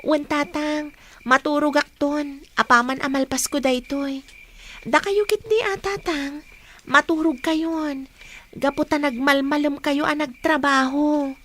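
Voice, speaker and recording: A woman's voice: high voice, steady tone, a little slow, fairly bright tone. A woman playing a studious, considerate college-age daughter in a family audio drama, speaking respectfully and reassuringly.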